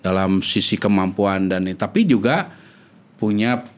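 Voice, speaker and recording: A middle-aged man with a Sundanese accent, a guest epidemiologist speaking earnestly in a podcast interview, pausing with fillers and restarts. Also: fairly low pitch, animated. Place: small studio with wood-slat wall. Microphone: desk mic on boom arm.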